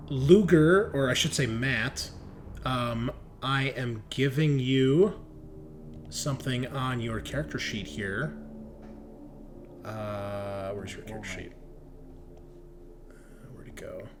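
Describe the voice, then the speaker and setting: Male game master, faint background noise. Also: medium pitch. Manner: improvised descriptive narration mixed with rules calls. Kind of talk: narrating a tabletop roleplaying game.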